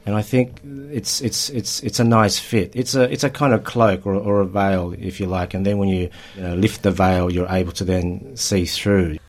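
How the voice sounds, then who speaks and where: A man talking reflectively in an interview, halting and repeating words as he searches for phrasing. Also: deep voice, little pitch movement. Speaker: writer and storyteller.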